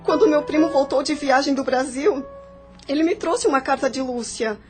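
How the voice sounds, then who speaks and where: High-voiced sad, polite mother, played by an actress. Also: normal speed, music in the background, close to an everyday voice. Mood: wistful, bitter. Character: polite, embittered married mother with deep sadness. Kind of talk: audio novel dramatic acting.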